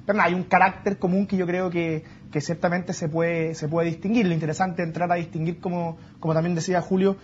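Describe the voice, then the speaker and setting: A man giving his view in a panel discussion, in measured, careful wording. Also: sits high, level tone. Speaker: youth panelist. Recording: dull sound with faint background noise.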